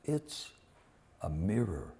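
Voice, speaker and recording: A man presenting and reading poems at a live event, with deliberate, dramatic phrasing and pauses. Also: deep voice, very animated, gravelly, mellow. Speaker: poetry reader and presenter. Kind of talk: live poetry reading with informal introductions. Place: public poetry reading at a museum.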